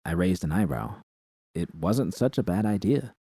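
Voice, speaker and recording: A man's voice: low voice, animated, moderate pace, vocal fry, dark tone, husky, no echo, studio quality. A man reading a first-person story aloud as scripted narration. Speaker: narrator reading fan fiction.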